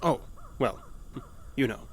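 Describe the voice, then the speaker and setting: An actor in an audio drama playing an obsessive, unstable male patient with a halting, nervous, insistent manner. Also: lightly acted. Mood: awkward, hospitable. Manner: halting, nervous, insistent, stammering under pressure.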